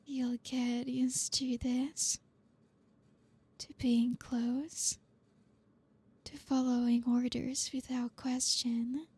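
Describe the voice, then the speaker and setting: A woman playing a flirty, dominant boss in an ASMR roleplay, teasing and commanding in a soft, deliberate, often whispered voice. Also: a little high, husky, exaggerated voice. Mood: commanding, seductive.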